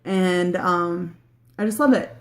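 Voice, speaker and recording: A woman's voice: fairly low pitch, slow pace. Chatty woman in her late thirties. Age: 30s. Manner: chatty, rambling, many ums and likes, laughs easily. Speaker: vlogger. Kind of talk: casual chat answering a tag video.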